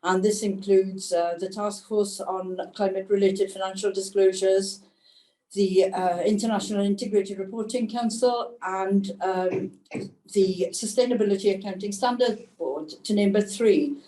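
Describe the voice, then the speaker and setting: A woman on a webinar panel, speaking in a measured, thoughtful way with pauses and fillers over a video call. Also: mid-range voice, fairly even intonation, warm tone. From Wales. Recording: echoey room, distant mic.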